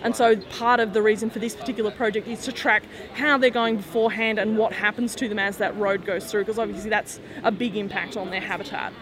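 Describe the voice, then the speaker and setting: A woman engineer explaining her company's work clearly in an interview. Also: mid-range voice, steady tone, fast, few pauses. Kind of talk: casual interview. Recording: crowd noise behind. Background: crowd chatter.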